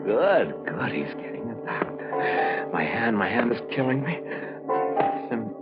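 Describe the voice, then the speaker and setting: A man's voice: mid-range voice, lightly acted. An actor playing an unhinged middle-aged man acting out a guilt-crazed murderer, declaiming feverishly and repetitively in old radio thriller style. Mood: pained, calculating. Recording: muffled old radio broadcast recording.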